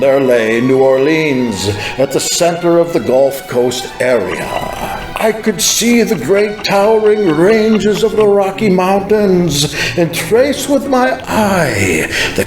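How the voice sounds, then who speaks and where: Slow, dramatic man narrating with echo. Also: fairly high pitch, big pitch swings. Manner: dramatic, solemn storytelling. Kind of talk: dramatized first-person scripted narration of a religious vision. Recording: echoing, clear music behind. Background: music.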